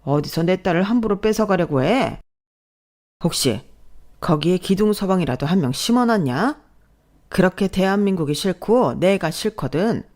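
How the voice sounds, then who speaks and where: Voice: mid-range voice, lively intonation, moderate pace, darkish tone, close to an everyday voice. A narrator voicing a boorish, lazy husband and father who scolds and sneers in a family story. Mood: furious.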